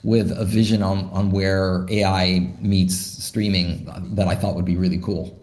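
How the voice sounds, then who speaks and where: A man's voice: low voice, flat delivery, measured pace, darkish tone. A man, a startup founder, answering interview questions in a thoughtful way, with many fillers and restarts. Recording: roomy echo.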